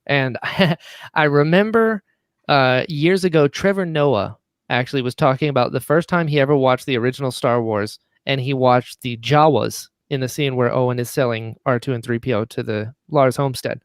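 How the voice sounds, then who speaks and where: A man's voice: mid-range voice, moderate pace, smooth, dry recording, clean studio sound. A man, a guest on a podcast, chatting casually and enthusiastically about producing, often acting out bits of past conversations. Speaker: audio drama writer and producer, podcast guest. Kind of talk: casual podcast chat, animated storytelling.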